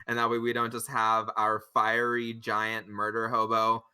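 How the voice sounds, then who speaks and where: A talkative man chatting casually as a player in a tabletop roleplaying game, quick to cut in on others. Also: fairly low pitch, monotone, a loud crowd.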